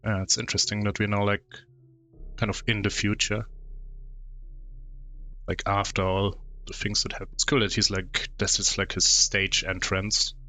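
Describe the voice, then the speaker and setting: German guy chatting thru a game, humming while he thinks, lowish bright voice, little music behind. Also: flat delivery, normal speed, long pauses. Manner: thinks aloud with hums and fillers. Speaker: streamer. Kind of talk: casual gameplay commentary and reading aloud.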